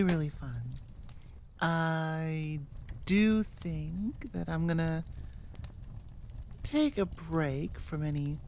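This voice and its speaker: A woman with a slightly low voice talks at a very unhurried pace. She rambles casually as a channel host, with a playful, self-mocking manner. There is some noise behind her. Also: a little mellow. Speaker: audio channel host and performer. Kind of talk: casual unscripted outro chat.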